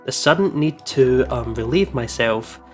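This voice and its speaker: A man's voice: medium pitch, lively intonation, a little slow, faint music behind. A man hosting a let's play, casually commenting and reading visual novel text aloud in an informal, jokey way. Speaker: video game let's play host.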